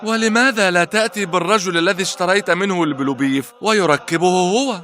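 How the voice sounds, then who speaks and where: Bright, rapid-fire cartoon grumbler played by a man. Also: high-pitched. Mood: grumbling. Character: exasperated, skeptical, easily panicked male cartoon friend. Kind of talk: animated cartoon voice acting.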